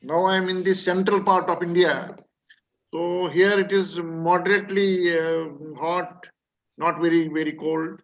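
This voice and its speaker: Man from kashmir, slightly high voice, halting small talk, muffled line. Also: fairly even intonation. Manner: hesitant, self-correcting, many fillers. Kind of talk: casual chat before a webinar. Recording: muffled webinar audio.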